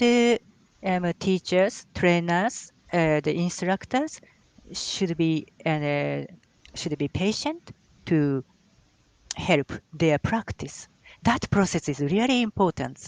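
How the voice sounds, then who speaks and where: Guy talking slow and careful, lots of uhs, dry close sound. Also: high-pitched, dry recording. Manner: hesitant, many fillers and restarts, emphatic on key words. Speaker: guest language scholar. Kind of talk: live online talk with Q&A. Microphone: video call.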